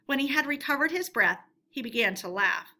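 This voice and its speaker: A woman storyteller reading a storybook aloud in an expressive narrating style. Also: sits high, a little fast.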